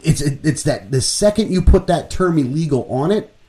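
A man co-hosting a talk radio show, laying out his political opinions in a casual, lively way. His voice is warm and mellow and swings widely in pitch as he explains things and works through figures. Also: moderate pace. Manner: animated, opinionated, explains with numbers.

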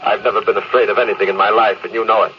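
A man's voice: fairly low pitch, level tone, very fast, natural voice. An actor playing a proud, lonely male space captain and family man in a tense, theatrical old-time radio style. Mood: uneasy, irritable. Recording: dull, hissy old radio recording.

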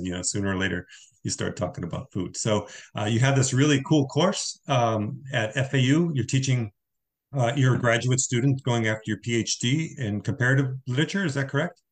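A man hosting a podcast interview, chatting casually in a rambling, halting way. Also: mid-range voice. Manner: rambling, halting, with frequent fillers and laughs. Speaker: podcast host.

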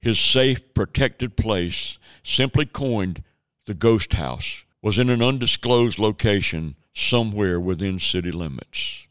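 A man narrating an audio drama in a measured storytelling way. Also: on the low side, a bit bright, clear, resonant tone, no echo. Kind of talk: scripted narration.

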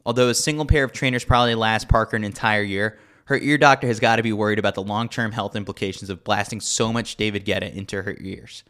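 A man's voice: fairly low pitch, steady tone, quick pace, hardly any pauses, fairly bright tone. A man reading a scripted sports newsletter as a podcast host, with a wry, tongue-in-cheek delivery. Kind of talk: scripted narration, sports newsletter read aloud.

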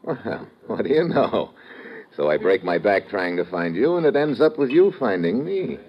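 Dull vintage radio recording of a hard-boiled American male agent. His low-set voice swings widely in pitch as he cracks wise in brash slang, in the manner of a 1950s adventure serial. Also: very animated, moderate pace, slightly rough. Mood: probing, urgent. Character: tough, wisecracking American male secret agent.

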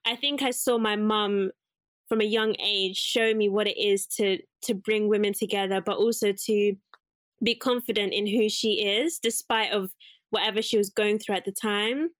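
A young woman from England talks through her story in a relaxed interview, circling back with fillers and small self-corrections. Her voice sits at a middle pitch, and the recording is close and dry. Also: moderate pace, no room sound. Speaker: guest, curly hair salon owner. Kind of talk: casual interview conversation.

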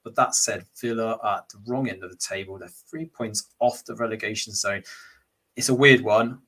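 Football pod co-host, even mid voice, keeps saying obviously, talks tactics. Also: male voice, lowish voice, normal speed, rough recording. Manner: analytical, steady, says obviously a lot. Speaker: podcast co-host. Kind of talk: casual football podcast chat.

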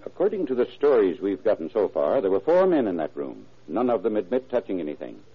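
An actor playing a methodical, take-charge police boss in an old-time radio drama, businesslike, emphatic and authoritative. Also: male voice, on the low side, big pitch swings, fairly quick, breathy edge, heavily acted. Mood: firm, reasoning. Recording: muffled, narrow-band vintage radio recording.

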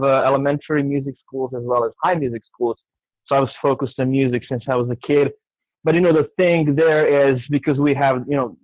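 A man's voice: mid-range voice, dry recording. A man in his thirties with a Serbian accent, a native Serbian speaker, talking casually and amiably as an interview guest over a phone-like line. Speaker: interview guest, jazz pianist. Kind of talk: casual interview answers, recounting own path. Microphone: remote call line, dry. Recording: thin band-limited phone-like line.

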